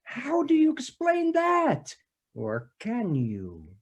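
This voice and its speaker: A man plays a police detective in a comic readers theater sketch. He is formal and pompous, very slow and deliberate, with pitch that wanders widely as he picks out his wordy, hesitant phrases. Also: huge pitch swings, full character voice. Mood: suspicious.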